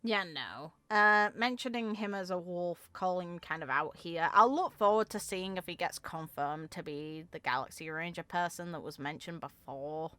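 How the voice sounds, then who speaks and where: Casting: female game content creator, casual musing commentary, mid-pitched and bright, very dry sound. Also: moderate pace, hardly any pauses, smooth, no room sound. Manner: informal, musing aloud.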